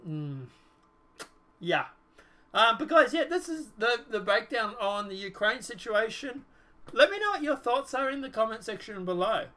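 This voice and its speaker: A man's voice: sits high, bright, forceful tone. A man giving casual political commentary while reading from a document, dismissively trailing off with repeated filler.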